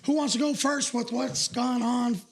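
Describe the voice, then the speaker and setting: A man co-hosting a comedy podcast with a hoarse, raspy voice, chatting loosely and crudely. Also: very high-pitched, fairly even intonation, moderate pace. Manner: loose, crude, joking banter. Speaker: podcast co-host. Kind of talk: casual comedy podcast chat.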